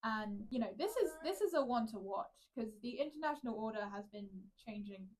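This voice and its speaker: A woman co-hosts a casual political podcast, her voice sitting a little high. She talks eagerly and loosely, with plenty of ums and likes. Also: animated, a little fast, darkish tone, poor audio. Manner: chatty, enthusiastic, with fillers. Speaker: podcast co-host.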